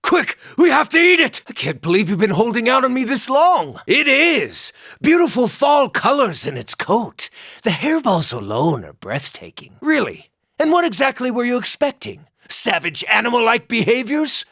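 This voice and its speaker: Irreverent, wildly expressive furry beast-man. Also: very high-pitched, moderate pace, slightly rough, dry recording, clean studio sound, exaggerated voice. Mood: excited, indignant. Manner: wry, theatrical, swinging from excited to muttering. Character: irreverent, lusty Orlan druid, small furry beast-man. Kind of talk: video game character dialogue.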